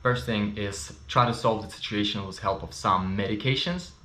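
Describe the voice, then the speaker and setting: Russian-Ukrainian-accented man, low voice. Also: moderate pace, pushed and bright. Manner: candid, chatty, frequent fillers. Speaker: vlogger. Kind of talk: casual personal story to camera. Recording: roomy echo.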